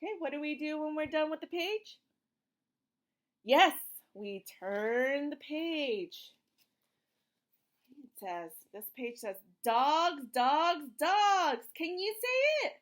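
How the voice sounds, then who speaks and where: Female children's read-aloud teacher, animated swoopy intonation, unhurried, encouraging question-and-answer delivery. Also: sits high. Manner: simple, encouraging prompts with questions and slow, emphatic reading. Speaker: teacher reading a picture book to young children.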